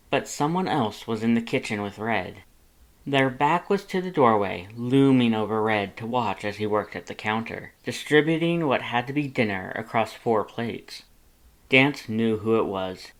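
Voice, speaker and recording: A narrator reading fan fiction aloud as a dramatic story, with expressive and intense delivery. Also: mid-range voice. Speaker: audiobook reader of fan fiction.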